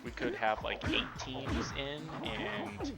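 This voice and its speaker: A man's voice: mid-range voice, wildly swooping pitch, slow, creaky. A man talking in an offhand, casual way while playing a video game, thinking aloud. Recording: loud game music behind. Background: video game music and game audio.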